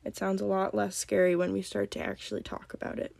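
A young woman with a slight cold hosting a solo podcast, talking calmly and gently. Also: mid-range voice, a little fast, creaky, dry recording. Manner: calm, gentle, reflective. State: has a cold. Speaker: podcast host. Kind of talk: solo podcast talk on mental health.

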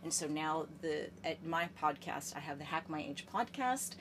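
A woman's voice: deep voice, lively intonation, normal speed, background noise. An American woman in her fifties, a guest chatting enthusiastically in a podcast interview, often restarting her phrases. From United States. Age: 50s. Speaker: guest, gerontologist.

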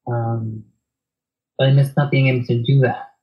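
Soft guy opening up in an interview, lots of ums, sorta muffled recording. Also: medium pitch, little pitch movement, normal speed. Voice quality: soft-spoken. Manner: reflective and hesitant, many fillers and restarts, soft laughs. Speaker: guest. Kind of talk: reflective interview conversation.

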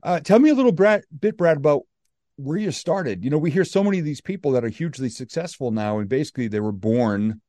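A man's voice: a little high, lively intonation, fast talker, warm tone, no echo, clean studio sound. An American man hosting a podcast, introducing his guest and asking questions in an upbeat, chatty way. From United States. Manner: enthusiastic, chatty. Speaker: podcast host.

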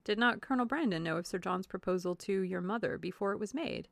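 A woman playing a polite, reserved young gentlewoman in a classic novel reading, with measured and courteous speech. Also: medium pitch, gravelly, dry recording, clean studio sound, close to an everyday voice. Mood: probing, restrained. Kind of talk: classic novel audiobook dialogue.

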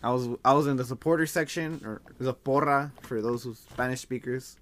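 A young man hosting a casual podcast chat, hoarse from losing his voice, laughing often. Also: medium pitch, brisk, slight background noise. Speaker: podcast host.

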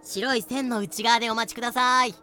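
A woman playing a brisk, haughty female sale organizer in a fan-made audio drama. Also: fairly high pitch, fairly even intonation, pushed and bright, faint music behind. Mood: brisk, announcing.

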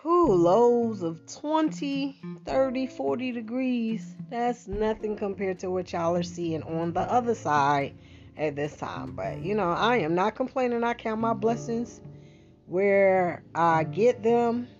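Slangy, slow-talking Philly woman podcaster. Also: medium pitch, expressive, a little mellow. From Bahamas-born, raised in Philadelphia. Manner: chatty, slangy, lots of fillers and asides. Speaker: podcast host. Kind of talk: casual monologue. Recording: dull lo-fi sound with guitar music behind.

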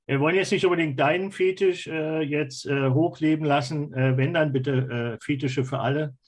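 A man co-hosting a podcast, chatting casually in halting, hesitant phrases with many pauses. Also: a little high, fairly even intonation. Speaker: podcast co-host. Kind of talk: casual chat.

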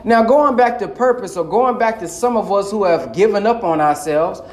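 A man's voice: sits high, normal speed. A man preaching to a live audience in an impassioned, colloquial way. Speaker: minister. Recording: echoey hall.

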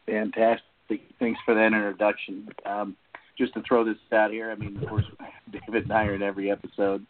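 A man hosting a podcast with casual, somewhat halting introductions over an online voice call. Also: fairly low pitch, flat delivery, a little hiss or hum. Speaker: podcast host. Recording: muffled, phone-like voice-call audio.

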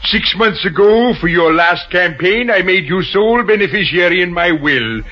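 An actor playing a vain, middle-aged washed-up matinee idol, florid and ingratiating, in an old radio drama. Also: male voice, sits high, lively intonation, normal speed. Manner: florid, hammy, smooth-talking. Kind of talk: 1940s radio drama acting. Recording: muffled old radio recording.

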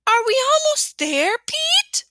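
A woman voicing an excitable, pushy little boy in a storybook reading. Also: extremely high pitch, slow pace, dry recording, studio quality, voice pushed up, full character voice. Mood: excited. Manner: eager, wheedling.

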